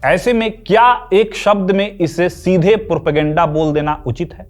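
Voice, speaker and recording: A man with an Indian accent delivering scripted explainer commentary in an emphatic way, with rhetorical questions. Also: high voice. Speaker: video essay host. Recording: music behind the voice. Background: background music.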